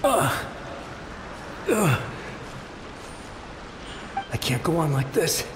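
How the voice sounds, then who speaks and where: A man's voice: on the high side, big pitch swings, extremely slow, exaggerated voice. A man voicing a frightened, desperate ghostly soldier in a video game, urgent and pleading. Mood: urgent, fearful. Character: desperate ghostly male soldier, frightened, defending his homeland. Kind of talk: dramatic video game acting. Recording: echoey with long reverb, clear background noise.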